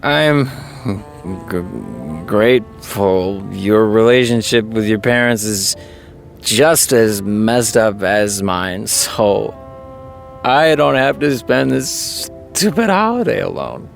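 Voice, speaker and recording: A man's voice: lively intonation, creaky, soft background music, full character voice. Man playing a gloomy, self-doubting young writer. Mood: wry. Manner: halting, wry, low-spirited. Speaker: actor in audio drama. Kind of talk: audio drama acting.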